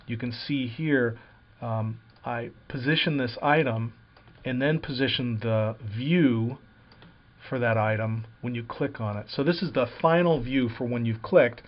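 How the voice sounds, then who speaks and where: A man talking through a software tutorial over a screen recording, explaining plainly with frequent uh and um fillers. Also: mid-range voice, a little slow, dull audio.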